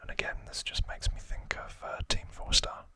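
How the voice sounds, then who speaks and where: A man whispering an ASMR recap in a breathy, unvoiced voice, explaining casually and haltingly as host. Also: bright, forceful tone.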